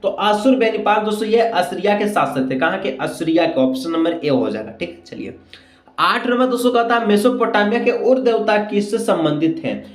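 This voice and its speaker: A man's voice: sits high, animated, brisk. A man teaching exam questions and answers, repeating himself and asking rhetorical questions as he goes. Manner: repetitive, frequent check phrases and rhetorical questions. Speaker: teacher, exam-prep video host. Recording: echoey room sound.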